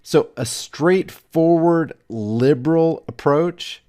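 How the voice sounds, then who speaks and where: A man's voice: fairly high pitch, slow, dark tone. A man delivering sarcastic, emphatic political commentary.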